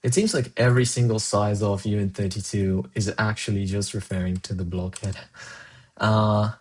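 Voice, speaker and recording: A man's voice: lowish voice, fairly even intonation, moderate pace, slightly rough. Man thinking aloud while coding. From Colombia. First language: Spanish. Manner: muses aloud, answers chat between tasks. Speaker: programmer streaming live coding.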